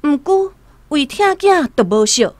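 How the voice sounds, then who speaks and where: A Taiwanese woman narrates a radio drama expressively. Her voice is a bit high, very varied in pitch and takes its time. Music plays behind her. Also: a little slow, no room sound. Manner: expressive storytelling.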